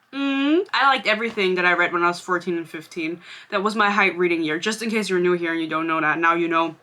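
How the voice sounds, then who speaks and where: A woman's voice: medium pitch, bright, forceful tone. A young woman in her twenties whose first language is German, chatting casually as a book vlogger, rambling and sarcastic, laughing often. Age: 20s. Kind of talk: casual chat.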